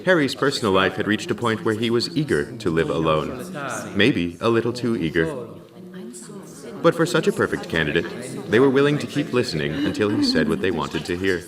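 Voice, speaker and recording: A man playing a calm, dutiful artificial intelligence who narrates a science fiction audio drama in a measured, formal and precise way. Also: lowish voice, a crowd in the background. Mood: measured storytelling. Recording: slightly distant, music underneath. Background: music.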